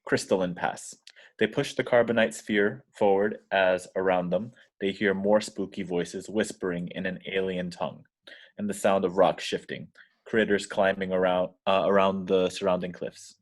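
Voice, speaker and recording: Deep-voiced man narrating stage directions. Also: level tone, moderate pace. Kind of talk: scripted screenplay narration.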